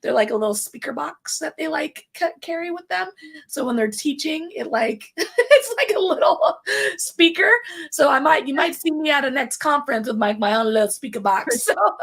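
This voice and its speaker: A woman with a raspy but high voice hosts a live podcast stream. She talks in a bubbly, rambling way, full of fillers and little laughs. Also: animated, moderate pace, a bit bright. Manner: bubbly, chatty, many fillers. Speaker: podcast host. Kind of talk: casual live-stream chat.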